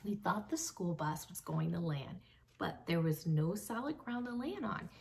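A young woman reading a children's book aloud expressively, with dramatic pauses, addressing young listeners. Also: on the low side, animated, gravelly, a little mellow. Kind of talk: expressive read-aloud storytelling. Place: small home room with bookshelves. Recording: slightly distant mic, faint background noise.

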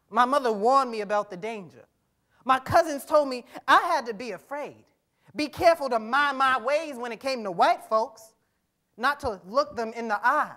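A defiant, wounded teenage boy played by a young man speaks from a stage in a high voice. His delivery is folksy and halting, with emphatic bitterness, as in a dramatic play reading. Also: sharp tone, exaggerated voice. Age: young adult. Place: theatre-like stage in a conference hall. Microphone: lapel.

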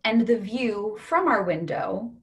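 A young woman playing a matter-of-fact, gently stubborn partner in an absurdist play reading over a video call. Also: medium pitch, expressive, slow pace. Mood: calm, matter-of-fact.

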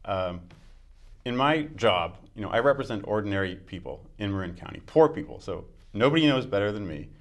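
A man's voice: on the low side, animated, brisk. A man in his forties, answering interview questions fluently and argumentatively with fillers. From United States. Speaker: judicial candidate. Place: small studio with dark curtains. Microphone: lapel mic.

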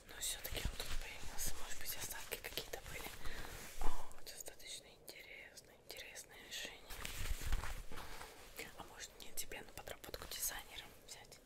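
A young woman plays a friendly witch seamstress in a whispered ASMR roleplay. She murmurs softly and soothingly into a close microphone, unhurried, often repeating small words as she works. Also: close to an everyday voice. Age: young adult. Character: friendly, busy witch seamstress fitting a Halloween costume. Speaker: ASMR performer.

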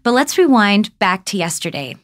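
A young woman playing an excitable, starstruck podcaster, narrating an audio drama in a chatty, informal way. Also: medium pitch, normal speed, no room sound, studio quality, natural voice. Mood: curious. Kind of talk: casual first-person audio drama narration. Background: music.

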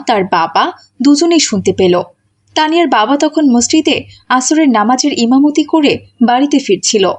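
Woman narrator reading a scripted story, high voice.

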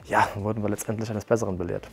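A man's voice: lowish voice, flat delivery, very fast, creaky. Matter-of-fact man in his thirties. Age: 30s. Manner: plain, matter-of-fact, occasional hesitations. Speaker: martial arts school co-owner. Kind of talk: testimonial interview. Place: bright room with curtains, standing. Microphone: lapel mic.

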